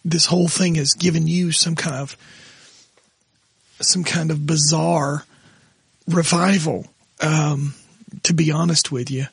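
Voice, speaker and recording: A middle-aged pastor, hoarse and raspy tonight, talking haltingly with his wife on their podcast. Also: a little high, fairly even intonation, no echo. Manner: halting, restarts often when moved. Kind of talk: casual conversation with his wife.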